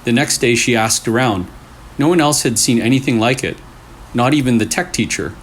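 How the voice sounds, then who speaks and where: A man's voice: medium pitch, normal speed, slight background noise. A man hosting and reading scary stories aloud in short, suspenseful phrases, with casual asides. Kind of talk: storytelling with casual reactions between stories.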